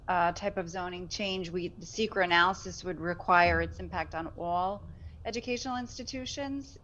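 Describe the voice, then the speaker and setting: Board member lady asking unsure questions, a bit low voice, dull call audio. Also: a bit bright. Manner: hesitant, questioning. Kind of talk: asking questions at a public meeting.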